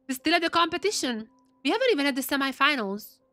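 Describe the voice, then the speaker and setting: A woman's voice: high voice, sing-song, a little fast, bright, forceful tone. A woman live streaming and reacting casually to a game, chatty and repeating phrases for emphasis.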